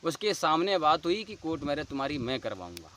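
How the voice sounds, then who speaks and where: A man's voice: on the high side, sing-song, fairly quick, a bit bright, a little hiss or hum. A man with a rural western Uttar Pradesh Hindi accent giving a personal account in an earnest, insistent, colloquial way. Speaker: dholak player. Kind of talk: personal account told to camera.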